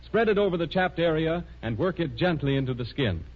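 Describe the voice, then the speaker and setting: Male 1930s radio announcer, fairly high voice, formal sponsor read, measured, muffled recording. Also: expressive.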